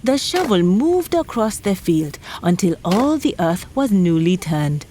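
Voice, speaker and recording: A woman's voice: lowish voice, very animated, a little slow, dark tone, a little hiss or hum. A woman hosting a children's folktale podcast, telling a scripted story theatrically and speaking straight to young listeners.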